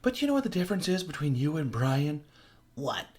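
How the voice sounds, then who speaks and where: A man's voice: a little high, very animated, brisk, a little mellow, pitched above the performer's own voice. A man voicing a defiant young woman held captive, who speaks in a cutting, scornful and blunt way. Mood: mocking.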